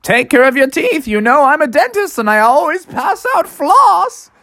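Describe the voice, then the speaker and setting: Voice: high voice, very animated, moderate pace, bright, forceful tone, dry recording, studio quality, voice pushed up, full character voice. A storyteller voicing a friendly, hearty rabbit dentist, a neighborly grown man in a children's story. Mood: jolly.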